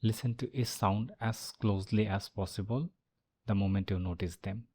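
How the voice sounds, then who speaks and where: Low, mellow male meditation guide. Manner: calm, measured instructing with long pauses. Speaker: meditation instructor.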